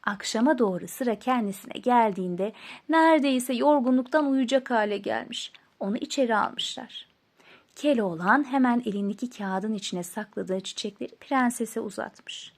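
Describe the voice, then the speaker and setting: A woman with a fairly high voice narrates a fairy tale from a script. Her delivery is expressive, in the manner of a storybook reader. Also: moderate pace.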